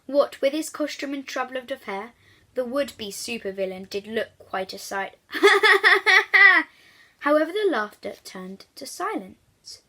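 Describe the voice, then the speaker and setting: Bright, high-voiced woman reading to children. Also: slow. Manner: animated, addressing young listeners directly. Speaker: children's book reader. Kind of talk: expressive storybook read-aloud for young children.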